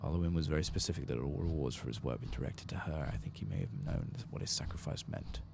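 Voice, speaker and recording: Casting: man with extremely low, gravelly voice, soft-spoken ASMR game streamer, clear background noise. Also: lively intonation, quick pace. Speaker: streamer playing a fantasy game.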